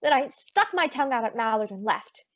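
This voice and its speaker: Young woman playing high-voiced wisecracking lady private eye. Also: animated, a little fast. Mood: wry narration. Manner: breezy, wry. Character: wisecracking, spirited female private detective. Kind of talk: old-time radio detective acting with narration. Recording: dull lo-fi audio, very dry.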